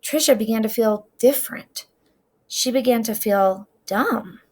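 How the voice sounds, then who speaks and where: A middle-aged woman reading a children's story aloud with deliberate, expressive, dramatic phrasing. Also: fairly high pitch, slightly rough.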